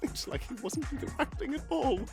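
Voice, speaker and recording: A woman's voice: sits high, animated, a little fast, creaky. A woman voicing a flamboyant video game announcer who lays out challenges in a grandiose, theatrical way. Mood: gossipy, theatrical. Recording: loud music behind the voice.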